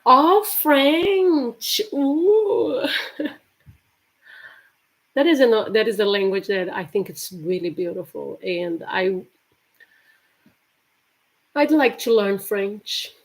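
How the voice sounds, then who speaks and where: A Portuguese-accented woman leads a casual live English class, chatting with viewers. She talks at a slow, easy pace, laughs a lot, and breaks off to say words slowly and carefully. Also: mid-range voice, low-quality recording. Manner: chatty, laughs often, repeats words slowly to show pronunciation. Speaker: English teacher. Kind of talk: live-streamed language lesson.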